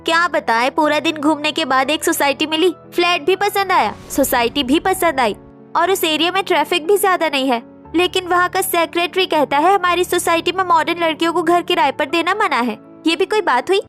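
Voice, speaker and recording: A woman playing an indignant young modern flat-hunter in a story drama, with a protesting, plaintive manner. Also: very high voice, a little fast, soft background music. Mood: exasperated. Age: young adult. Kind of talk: acted Hindi story dialogue.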